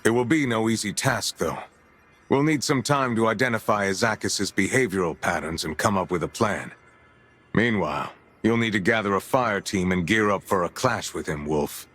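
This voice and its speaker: An actor playing a grave commander giving a formal, measured mission briefing in a video game. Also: male voice, on the low side, a bit bright, slightly rough. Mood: grave, resolute.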